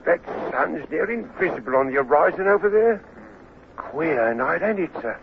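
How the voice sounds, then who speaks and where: An actor playing a gloomy, plain-spoken sailor in a radio drama, with a rustic, colloquial way of talking. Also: male voice, a little high, lively intonation, normal speed, gravelly. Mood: brooding. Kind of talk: vintage radio drama acting. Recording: noisy, tinny old radio recording.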